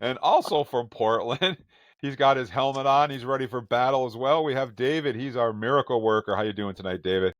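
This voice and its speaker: A man's voice: medium pitch, brisk, no echo, clean studio sound. A man hosting a casual podcast, introducing his co-hosts with an upbeat, jokey manner. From Vermont. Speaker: podcast host.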